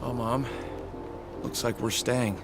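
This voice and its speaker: Terse young game hero, mid-pitched. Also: male voice, fairly even intonation, takes it slowly, vocal fry. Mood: subdued. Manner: understated, terse. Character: level-headed, terse young American male hero. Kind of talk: video game cutscene acting. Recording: loud music behind voice.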